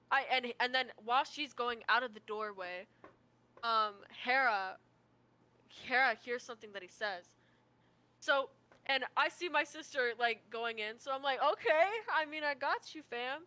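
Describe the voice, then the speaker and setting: A woman's voice: a little high, pushed and bright, smooth. A young American woman telling stories in casual chat, with a slangy, sweary, rambling manner. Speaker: livestreamer.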